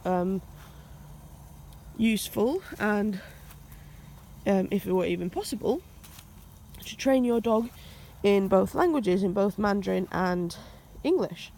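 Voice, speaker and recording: Slow-paced woman. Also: mid-range voice, lively intonation, darkish tone, slight background noise. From Finland. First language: Finnish. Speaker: dog owner and vlogger. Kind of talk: casual vlog talk. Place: outdoors on a walk.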